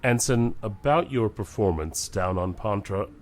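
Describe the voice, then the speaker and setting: A man's voice: fairly low pitch, measured pace, a little hiss or hum, close to an everyday voice. A man playing a humane, commanding but weary starship captain in a science fiction audio drama.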